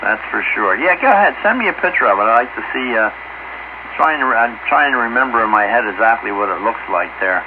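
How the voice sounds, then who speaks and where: A man's voice: medium pitch, expressive, quick pace. A man chatting casually over two-way radio in a folksy way, often repeating his phrases. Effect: two-way radio transmission. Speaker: amateur radio operator. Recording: very noisy, muffled two-way radio.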